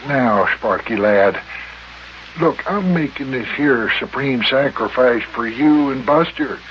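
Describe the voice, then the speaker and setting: Bumbling, cajoling older male mayor, unhurried and halting, muffled 1950s radio drama. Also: medium pitch, lively intonation. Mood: pleading. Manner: halting, stammering, cajoling, chuckling. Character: folksy older mayor, flattering, bumbling sweet-talker. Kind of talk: 1950s children's radio serial acting. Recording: dull, noisy old radio recording.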